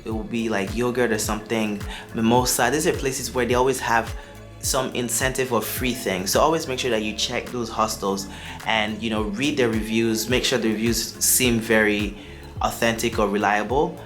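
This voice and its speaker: A man's voice: lowish voice, fairly even intonation, moderate pace, a bit bright, faint music behind. A young man from Nigeria, speaking with a Nigerian accent that drifts toward American, gives chatty, upbeat travel advice to camera as a vlogger. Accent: Nigerian, shifting toward American. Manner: chatty and upbeat, with asides and restarts.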